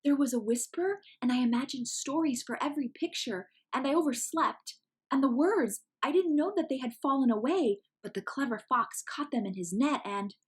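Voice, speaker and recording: A woman voicing an earnest, grateful little schoolgirl in a storybook, eager, breathy and halting. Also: high voice, voice pushed up.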